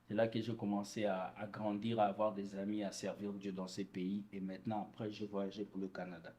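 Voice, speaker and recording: A man with a somewhat low voice talks through his past as a gospel singer in a relaxed, earnest way. He is from Congo and often restarts his sentences as he recalls details. His speech is casual and unhurried. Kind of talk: casual interview answers.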